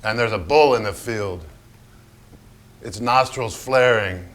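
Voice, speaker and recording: An actor in a staged reading playing a brash, vulgar and troubled celebrated painter, with a raw, profane, halting delivery. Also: male voice, on the low side, fairly bright tone. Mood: haunted, fearful. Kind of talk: theatrical staged reading, dramatic monologue.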